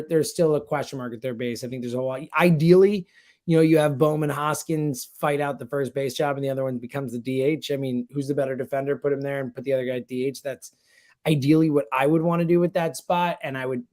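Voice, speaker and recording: A man talks sports in a casual, slangy way, like a radio guest breaking down a team. He is blunt and opinionated, leaning hard on his points with plenty of emphasis. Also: mid-range voice. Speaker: sports radio producer and host, guest commentator. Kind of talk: casual sports talk analysis.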